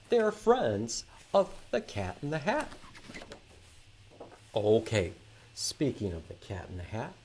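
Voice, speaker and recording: A man's voice: medium pitch, a little mellow, breathy edge. A man hosting a library storytime, reading aloud and explaining things to young children with lots of simple questions. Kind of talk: children's read-aloud and sing-along.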